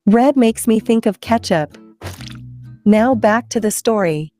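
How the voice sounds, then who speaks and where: A woman's voice: fairly high pitch, expressive, unhurried, a little mellow, no room sound, faint music behind. A woman hosting story time for children, playful and chatty, putting questions to her young listeners.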